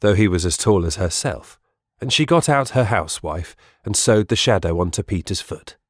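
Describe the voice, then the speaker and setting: Man, audiobook narrator, scripted storytelling, fairly deep voice, dry acoustic. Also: expressive, normal speed, no echo.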